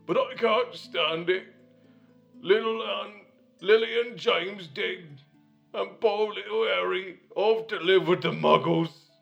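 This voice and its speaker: A man voicing a huge, shaggy, gentle male groundskeeper who talks loudly and blubbers, on a dull lo-fi recording. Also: fairly high pitch, moderate pace, gravelly, faint music behind, voice pushed up. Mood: tearful. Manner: loud, blubbering, rustic.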